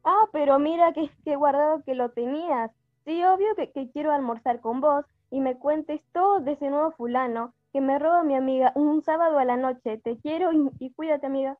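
A woman's voice: sits high, moderate pace, dry recording, close to an everyday voice. A woman playing an affectionate, nosy best friend in an amateur radio play, with an Argentine accent. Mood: curious, affectionate. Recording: tinny phone-like sound, music behind.

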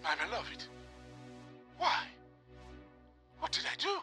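An actor playing a heartbroken, jealous young suitor in a comedic audio drama, pleading in overwrought declarations. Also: male voice, extremely high pitch, wildly swooping pitch, slow pace, sharp tone, faint music behind, full character voice.

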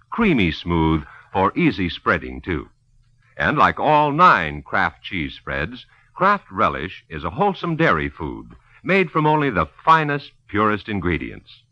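A man's voice: lowish voice, pushed and bright, no room sound. A male announcer delivering old-time radio advertising and narration with folksy, emphatic salesmanship. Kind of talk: 1940s-50s radio ad and narration. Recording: muffled old dry radio recording.

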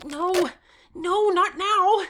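A woman playing an excitable, cocky thirteen-year-old contestant telling their story in a scripted audio drama. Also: very high-pitched, very slow, noisy background, heavily acted. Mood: defiant. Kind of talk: scripted audio drama, first-person narration with acted lines.

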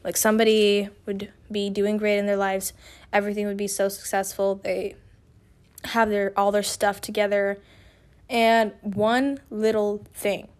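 A young woman hosting a personal podcast, her voice a little raspy from feeling unwell, talking candidly and rambling with restarts. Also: medium pitch, little pitch movement, measured pace. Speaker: podcast host. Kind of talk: casual personal podcast monologue.

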